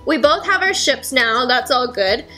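A young woman in her twenties casually explaining board game rules at home, chatty with lots of fillers, her voice a little hoarse. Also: high voice, animated, measured pace, bright, forceful tone, soft background music. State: slightly hoarse. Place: home kitchen, indoors. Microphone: fixed camera at table.

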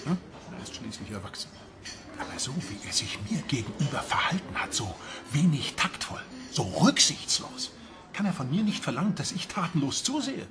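A man's voice: on the high side, very animated, moderate pace, over music. An actor playing an aggrieved man in a crime radio play, bitterly and insistently justifying himself to his lover. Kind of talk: radio crime drama acting.

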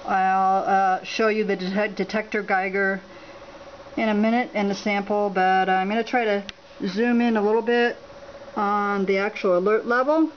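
A woman at a home filming a laptop screen, talking without a script and reading out measurement numbers with many pauses and fillers. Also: medium pitch, fairly even intonation, highs cut off, a little hiss or hum. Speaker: home radiation monitoring vlogger. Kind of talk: unscripted on-camera walkthrough. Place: indoors at a home, beside a laptop.

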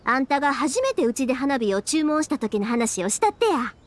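A woman voicing a cheerful, dependable young fireworks maker in an anime game, speaking a lively Kansai dialect. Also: sits high, brisk, slight background noise. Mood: bright, upbeat.

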